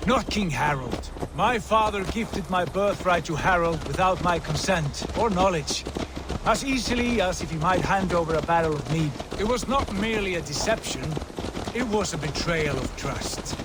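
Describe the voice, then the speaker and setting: A man as a high-voiced Norse warrior prince, noisy surroundings. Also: close to an everyday voice. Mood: bitter. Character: proud Norse warrior prince, reflective and wry. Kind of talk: video game cutscene acting. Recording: heavy outdoor background noise.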